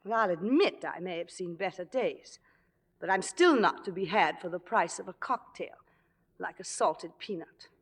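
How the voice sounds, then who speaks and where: An actress playing a proud, jealous, middle-aged theater star, theatrical and sarcastic, in classic film drama style. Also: female voice, a little high, expressive, moderate pace, pushed and bright. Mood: wounded, subdued. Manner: biting, sarcastic, grandly theatrical. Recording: reverberant old film soundtrack, large hall.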